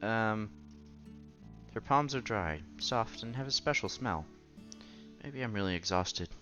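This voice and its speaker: A man reading a visual novel aloud as a streamer, with playful, casual asides and quiet game music behind him. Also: fairly low pitch, expressive, measured pace. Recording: slightly dull, faint game music behind.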